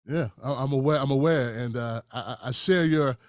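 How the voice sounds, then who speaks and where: A man hosting a live-stream interview, speaking in a measured way with frequent fillers and restarts. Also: medium pitch, no room sound, clean studio sound. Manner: measured, many fillers and restarts, calls guest brother. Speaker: host.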